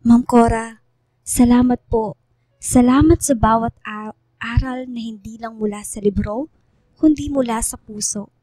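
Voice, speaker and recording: A woman acts out a tender, sincere schoolgirl for a radio drama. The voice is high and softly mellow, recorded dry and close, and she speaks in a heartfelt, earnest way. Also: dry recording, lightly acted. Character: Lia, earnest, tender schoolgirl grateful to her teacher. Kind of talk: radio drama dialogue and heartfelt letter reading.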